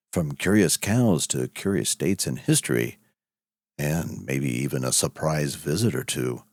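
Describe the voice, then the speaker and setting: A man's voice: low-pitched, darkish tone, no room sound, studio quality. A man hosting and narrating a cowboy audio show in a folksy, homespun way.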